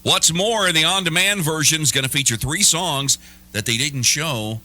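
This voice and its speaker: A man hosting a morning radio show with lively, emphatic, joking banter on a close studio mic. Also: fairly high pitch, moderate pace. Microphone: close broadcast studio mic.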